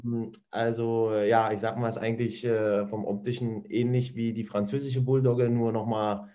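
An easygoing man talks as a guest, pausing often with fillers while he works out what to say. His voice sits at an ordinary, middle pitch. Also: level tone, dark tone. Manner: easygoing, hesitant, many fillers. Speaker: guest, ice hockey player.

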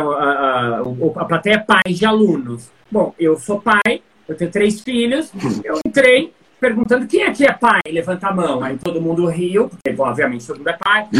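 A man's voice: high-pitched, poor audio. Man telling stories in a chatty way. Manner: storytelling, many fillers and restarts, animated examples. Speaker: public speaking coach. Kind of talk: casual interview talk.

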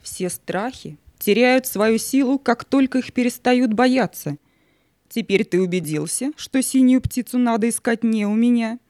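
A woman playing the spirit of Night in an audio play, stately and condescending, with a theatrical, drawn-out delivery. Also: a little high, a little mellow, no echo, clean studio sound, close to an everyday voice. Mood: reassuring, sly. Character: Night, stately female spirit guarding her secrets, motherly yet cunning.

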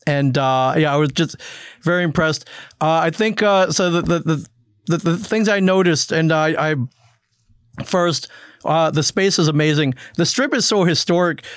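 A man's voice: a little high, moderate pace, smooth, no room sound. A man hosting a casual interview, speaking in an enthusiastic, complimentary way. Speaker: interviewer, show host.

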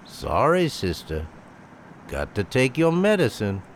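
Slow, sardonic radio-thriller killer. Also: male voice, mid-range voice, huge pitch swings, slight background noise, exaggerated voice. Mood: cold menace. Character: cold menacing male killer, smoothly polite to strangers. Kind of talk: 1940s radio thriller acting.

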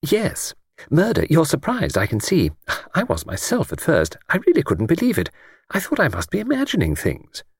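A man voicing a gentle, chatty old English lady in an audiobook, with a thin, quiet voice and a hesitant, rambling, genteel manner. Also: a little high, wildly swooping pitch, normal speed, creaky, husky, no room sound, clean studio sound, voice pushed up, exaggerated voice. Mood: gently earnest. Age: older. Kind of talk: audiobook character reading.

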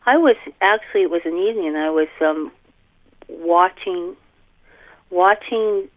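A Canadian woman in her fifties chatting casually as an interview guest, animated and self-deprecating as she tells stories. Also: lowish voice, a little slow, dry recording. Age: 50s. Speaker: fantasy author, podcast guest. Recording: tinny telephone-like line.

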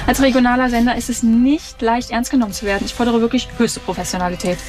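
A woman playing a stern, demanding radio station boss in a radio play, brisk and exacting. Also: on the high side, fairly even intonation, darkish tone, soft background music, natural voice. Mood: reproachful.

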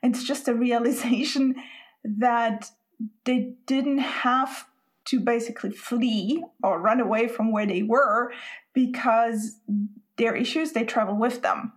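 A woman's voice: fairly high pitch, takes it slowly. A woman from Spain, hosting a podcast interview and thinking aloud in a reflective way. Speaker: podcast host.

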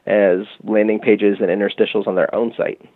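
A man's voice: on the low side, little pitch movement, normal speed, no room sound. A man interviewed as a guest, explaining his company's product in casual talk, full of "you know" and "um". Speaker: guest from a music marketing company. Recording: tinny phone-line call.

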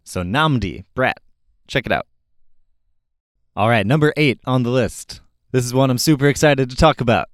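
A man's voice: medium pitch, moderate pace, long pauses, dry recording. Male host for casual album-review talk. Informal, opinionated, keen; clean resonant voice, dry recording. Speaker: host of a music review show.

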